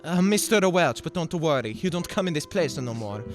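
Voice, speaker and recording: Animated, protective immigrant barkeeper played by a man. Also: a little high, moderate pace, over music, lightly acted. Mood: concerned. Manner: solicitous, broken English. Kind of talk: live radio play acting.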